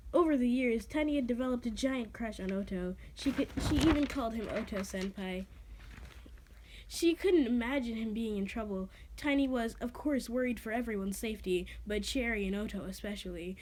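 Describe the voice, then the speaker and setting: Woman, fairly high voice, amateur dramatic reading, self-deprecating, stumbles, casual jokey asides. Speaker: amateur reader of own creative writing.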